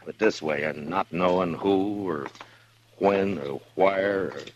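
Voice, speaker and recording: An actor playing a stern, steady frontier marshal who speaks in a terse, calm and firm way, in 1950s radio drama style. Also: male voice, sits low, takes it slowly, dull audio.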